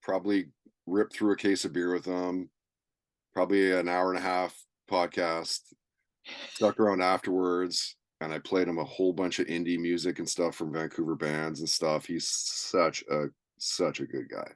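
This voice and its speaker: A man's voice: deep voice, flat delivery, normal speed, no echo. A man hosting a podcast, chatting casually about sports in a laid-back, slangy, agreeable way. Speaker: podcast host.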